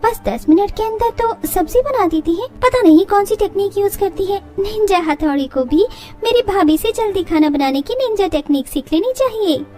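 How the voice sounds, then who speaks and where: A woman voicing an admiring, excitable young sister-in-law bragging in a family story. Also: extremely high pitch, moderate pace, soft background music, voice pushed up. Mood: gushing. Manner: excited, boastful.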